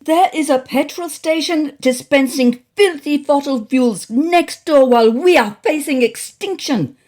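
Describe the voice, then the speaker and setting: Lady doing a high pitched stubborn girl cat activist, fake nordic accent, loud and dead earnest, storybook style. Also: a little slow. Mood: vehement. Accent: affected Nordic. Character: earnest indignant young female activist cat, curt and stubborn.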